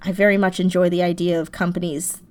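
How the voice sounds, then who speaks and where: A woman chatting casually as a podcast guest, an animated, effusive storyteller talking about her writing. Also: lowish voice, fast talker, clean tone. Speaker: podcast guest, audio drama writer. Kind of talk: casual interview chat.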